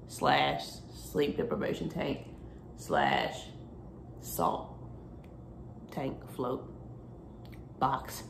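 Young woman vlogger, deep raspy morning voice with fry, rambling casual chat into a phone in her kitchen and car. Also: a little hiss or hum. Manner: rambling, chatty, self-interrupting. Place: home kitchen, then parked car. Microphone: phone camera at arm's length.

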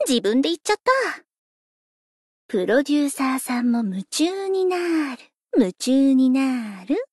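A woman's voice: high-pitched, no echo, clean studio sound. A woman playing a playful, laid-back young pop idol in scripted game lines, coaxing and lightly teasing in a breezy way. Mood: playful, confident.

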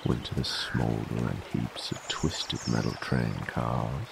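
A man playing a cocky, dominant werewolf bounty hunter in an ASMR roleplay, with a gravelly cowboy drawl and a teasing, folksy manner. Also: slow pace, background noise, heavily acted. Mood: grim, taunting. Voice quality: deep, gravelly. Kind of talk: ASMR audio roleplay, in-character monologue. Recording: steady trickling stream ambience behind. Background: running water, outdoor nature ambience.

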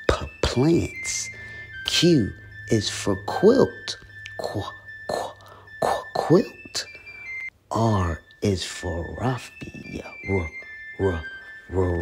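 A man with a fairly deep voice hosts a read-along for children. He reads in a simple, teacherly way at an unhurried pace. Faint music plays behind him. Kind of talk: read-aloud lesson for children.

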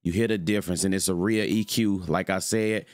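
Deep-voiced young man, slangy tutorial. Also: fairly even intonation, fairly quick, a little mellow, no room sound. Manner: loose and rambling, slangy, with filler phrases. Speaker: streamer showing mic settings. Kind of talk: casual screen-recorded tutorial. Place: home streaming room with purple lights.

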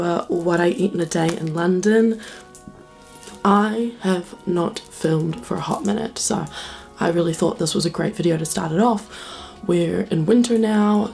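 A young woman with a New Zealand accent talks to her camera in a small bedroom, chatty and upbeat, full of "like" and "um". Her voice is croaky and gravelly from a cold, picked up by a nearby camera mic, with faint music underneath. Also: lowish voice, a little mellow. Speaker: vlogger. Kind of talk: casual vlog talk to camera. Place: small bedroom and kitchen indoors.

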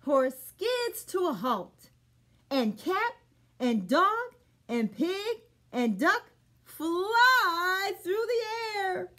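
Very slow, animated woman reading. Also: very high voice. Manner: slow, expressive, deliberate for young children. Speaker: children's librarian, storytime host. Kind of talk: children's storytime, read-aloud and instruction. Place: small indoor room.

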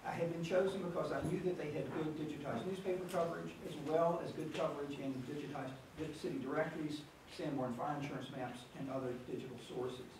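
An older man giving a keynote lecture, reading from notes at a measured pace with small restarts, in a conference room. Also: on the high side, fairly even intonation. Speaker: keynote speaker. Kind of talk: academic lecture read from notes. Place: conference room with large screen. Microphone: far camera, no mic seen. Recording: very echoey room from far off, noisy.

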